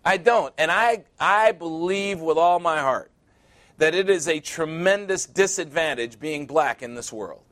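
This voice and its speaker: A man in his sixties preaching a sermon, emphatic and repetitive, building to loud peaks. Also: fairly high pitch, lively intonation, unhurried. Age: 60s.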